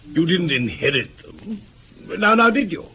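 A man with an English accent playing a genial, easily astonished gentleman companion in a radio play, polite and hearty. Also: high voice, very animated, unhurried, heavily acted. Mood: curious, earnest. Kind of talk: mid-century British radio play acting. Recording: tinny old radio recording, faint hiss.

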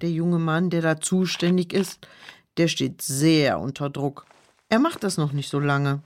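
A woman's voice: sits low, unhurried, darkish tone, a little hiss or hum. A woman playing a worried, earnest, pious housekeeper who is easily flustered, in a children's audio drama. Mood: puzzled, earnest.

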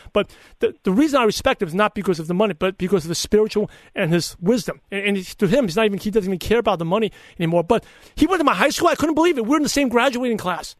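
A man's voice: high-pitched, no echo, studio quality. A middle-aged man with a mixed Brooklyn and Chinese accent and a lisp, speaking earnestly and emphatically in a conversational interview. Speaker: podcaster and coach, interview guest. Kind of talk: conversational interview answers with personal anecdotes.

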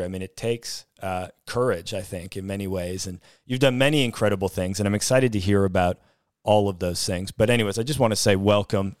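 A man hosting an interview, talking in a halting way with many fillers and restarts. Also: on the low side, smooth, no echo, clean studio sound. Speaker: host.

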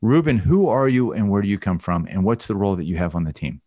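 A man hosting an interview podcast, introducing guests and asking brisk, friendly questions. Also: fairly low pitch, warm tone, dry recording, dull audio. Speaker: podcast host.

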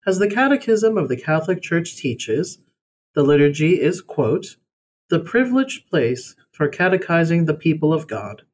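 A man reads a scripted religious essay aloud as narration, in a measured, formal way, phrase by phrase. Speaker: reader of a religious blog essay. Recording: faint background noise with low rumble.

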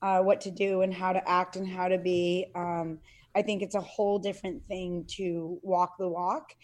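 A woman's voice: lowish voice, flat delivery, moderate pace, a little mellow. A middle-aged woman talking casually as an interview guest, earnest and hesitant, with many fillers and restarts. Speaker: podcast guest, CrossFit athlete and parent.

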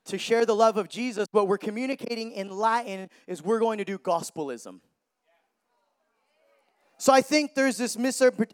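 A man in his thirties preaching a sermon with energy, joking with the crowd and shouting for emphasis. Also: sits high, smooth. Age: 30s.

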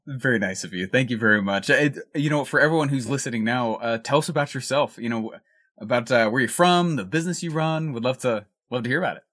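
A man's voice: medium pitch, fast, a bit bright. A man hosting a podcast, delivering upbeat intros and casual interview talk.

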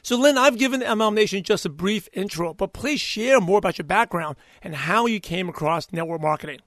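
Hoarse, fired-up male podcast host. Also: sits high, brisk, dry recording, studio quality. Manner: energetic, hyped-up delivery. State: hoarse, partly lost voice. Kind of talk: upbeat podcast interview.

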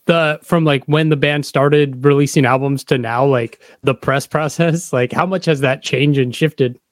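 A man's voice: on the high side, fairly even intonation, measured pace, dry recording, clean studio sound. A middle-aged man chatting casually as a podcast interviewer. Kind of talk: casual chat.

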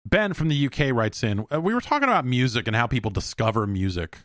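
An American man hosting a podcast alone in a casual, rambling, halting way, thinking aloud and speaking bluntly. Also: medium pitch, animated, fairly bright tone, no room sound, studio quality. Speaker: podcast host. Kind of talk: casual solo podcast talk, reading listener emails.

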